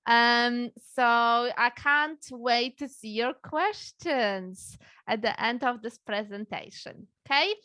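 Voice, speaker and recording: A woman leads an online webinar as a dating coach, talking slowly and warmly with frequent fillers and small laughs. Her voice sits high and bright. The sound is dry and close, like a computer mic on a call. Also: dry recording. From Poland. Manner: slow, friendly, with frequent fillers and soft laughs. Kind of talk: live webinar presentation. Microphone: computer mic on a video call.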